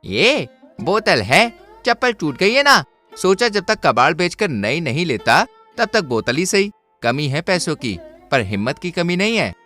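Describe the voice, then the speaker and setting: A scrappy, cheerful ragpicker boy in a cartoon storybook, as a man puts him on. He sounds upbeat and encouraging, with a lower, easygoing delivery. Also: big pitch swings, unhurried, pushed and bright, faint music behind, heavily acted.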